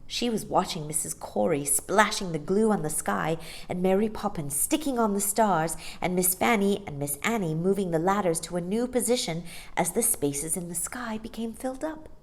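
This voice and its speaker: A woman narrating a children's story in an expressive storytime style. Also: lowish voice. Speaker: storytime reader.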